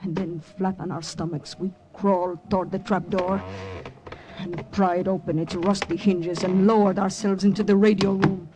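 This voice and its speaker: Low-voiced devoted woman agent, acted, old radio drama. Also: flat delivery, background noise, lightly acted. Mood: grave recollection. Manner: earnest, dramatic, deliberate phrasing. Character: devoted, anxious woman resistance agent narrating her wartime memory. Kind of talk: 1940s-50s radio drama acting.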